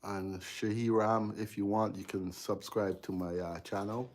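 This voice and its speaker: A man's voice: lowish voice, measured pace. A man with a Barbadian accent chatting casually on a live stream while he works in a cold basement electrical room, trailing off with fillers as he concentrates. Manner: relaxed, drifting off mid-sentence with fillers while busy. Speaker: electrician livestreaming his work.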